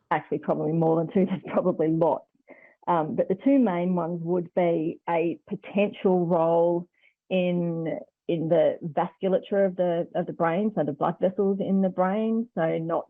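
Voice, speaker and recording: A woman's voice: lowish voice, no room sound. A woman with an Australian accent, explaining conversationally as an expert guest on a radio phone-in, over a muffled phone-like line.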